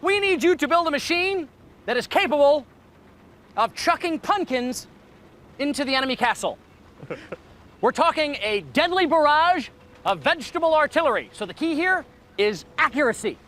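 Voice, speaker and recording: Bright-voiced, playful male host. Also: very high voice, expressive, normal speed, long pauses. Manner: jokey, folksy, exaggerated delivery. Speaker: show host. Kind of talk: playful hosting.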